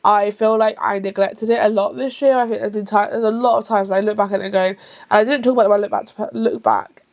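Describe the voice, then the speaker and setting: A woman's voice: medium pitch, steady tone, gravelly, darkish tone. A woman of about thirty from England. She talks casually to the camera as a book vlogger, rambling and often correcting herself, and sometimes hurries. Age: around thirty. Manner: chatty and rambling, with frequent self-corrections; rushed at times.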